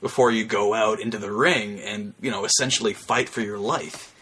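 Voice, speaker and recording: A man's voice: fairly low pitch, fairly even intonation, moderate pace, pushed and bright, slight background noise. A young man chatting casually as a podcast co-host, rambling in a mock-earnest, foul-mouthed way. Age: young adult. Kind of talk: casual comedic chat.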